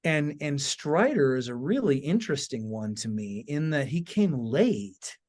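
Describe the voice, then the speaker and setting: A man's voice: on the high side, big pitch swings, a little slow, darkish tone. A man hosting a podcast in a casual, conversational way, informal and engaged, often checking in with his listener. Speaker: podcast host.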